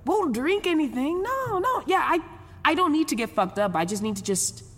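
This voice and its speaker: A woman's voice: high voice, big pitch swings, moderate pace, a bit bright, in a large hall. An actress playing a volatile, foul-mouthed, wounded young woman in a naturalistic audio drama, rambling and arguing with herself. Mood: anxious, self-persuading. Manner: rambling, profane, argues with herself.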